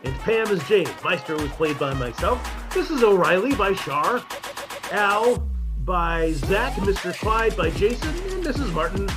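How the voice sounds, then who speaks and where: A man's voice: high-pitched, expressive, measured pace, music in the background. A man reading scripted closing credits as the announcer of a radio play.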